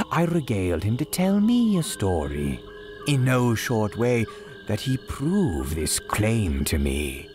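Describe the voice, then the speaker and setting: A man playing a courteous, gleefully sinister cemetery keeper, telling horror tales in grand, archaic, drawn-out phrases like old-time radio drama. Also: mid-range voice, darkish tone. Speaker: horror storyteller host.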